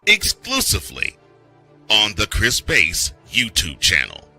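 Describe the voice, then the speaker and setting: Male promo announcer, low-leaning pitch, slow theatrical delivery, music bed. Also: wildly swooping pitch. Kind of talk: over-the-top comic promo voice-over.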